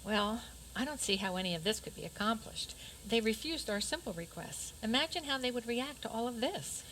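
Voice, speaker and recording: A woman acts out an anxious, earnest princess in a radio play. She is fretful and hesitant, in a highish voice that rises and falls a lot. A little noise is audible behind her. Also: lively intonation, brisk, fairly bright tone, poor audio. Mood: worried. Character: anxious, earnest, lovestruck fairy-tale princess. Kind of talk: community radio theater acting.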